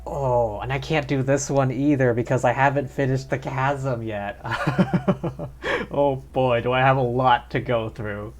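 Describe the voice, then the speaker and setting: A man's voice: medium pitch, steady tone, a little slow, soft background music. A man doing casual live gameplay commentary as a streamer, talking to himself as he plays. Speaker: game streamer.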